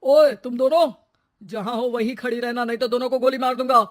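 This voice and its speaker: A man playing a threatening, mocking burglar in an animated story, sneering at his victims. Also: very high-pitched, brisk, slight background noise. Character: menacing, mocking male burglar with a gun. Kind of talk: Hindi animated story dialogue.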